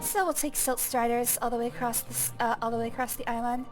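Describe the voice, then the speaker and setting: A young woman with a high voice gives relaxed commentary over a video game. She thinks aloud and pokes fun at herself, and faint game music plays underneath. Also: fast. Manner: chatty, self-deprecating, thinks aloud. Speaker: gaming streamer. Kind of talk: casual gameplay commentary.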